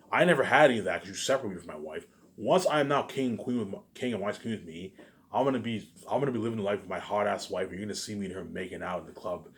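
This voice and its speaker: A man with a somewhat low voice talks very fast to his online viewers. He rambles and boasts, swearing freely and repeating his phrases, and his delivery jumps into louder bursts before settling back down. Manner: repetitive, restarting phrases over and over; profane, boastful ranting with sudden loud bursts.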